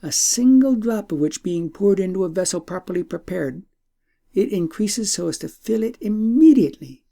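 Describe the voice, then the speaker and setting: A man voicing a devout, knowing old woman in a storybook, speaking with courteous, deliberate formality. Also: high voice, creaky, dark tone, full character voice. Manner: formal, deliberate, ornate speech.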